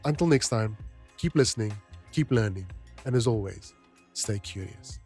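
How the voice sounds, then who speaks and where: A man's voice: lowish voice, animated, normal speed, darkish tone, husky. A man hosting a podcast, speaking directly to his listeners. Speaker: podcast host. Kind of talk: podcast narration and informal address to listeners. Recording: music bed behind the voice. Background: music.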